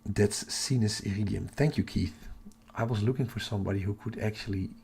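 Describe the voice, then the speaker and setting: Hushed, creaky-voiced man livestreaming. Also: fairly quick. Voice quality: hushed, half-whispered. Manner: keeping voice low for sleeping household. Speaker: astronomy livestream host. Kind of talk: casual live chat with viewers.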